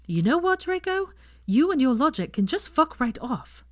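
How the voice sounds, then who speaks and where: A woman voicing an indignant, stubborn young woman in an audiobook, emphatic and halting when flustered. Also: sits high, sing-song, pitched above the performer's own voice. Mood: angry, defiant. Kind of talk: audiobook character dialogue.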